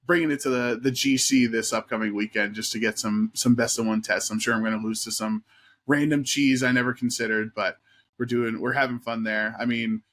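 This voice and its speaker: A man's voice: medium pitch, steady tone, a little fast, a bit bright. Enthusiastic man podcast co-host. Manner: enthusiastic, rambling with filler words. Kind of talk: casual chat.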